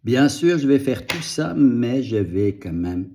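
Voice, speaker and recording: A middle-aged man whose first language is Dutch, speaking French slowly and reflectively in a personal video update, pausing and restarting, audibly moved. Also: medium pitch, very animated, mellow, a little hiss or hum. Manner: slow, reflective, halting with restarts. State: moved, emotional. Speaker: vlogger and author.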